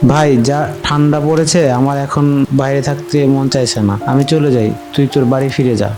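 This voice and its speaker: A man playing a reluctant young student friend in an animated horror story, talking in a casual, grumbling way. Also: medium pitch, moderate pace, over music, close to an everyday voice.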